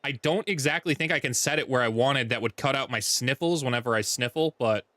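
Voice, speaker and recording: A man with a deep voice streaming a strategy game from home, chatting casually, thinking aloud and correcting himself as he plays. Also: fairly quick, pushed and bright, no room sound. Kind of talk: casual gameplay livestream chat. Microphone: desk mic with noise gate.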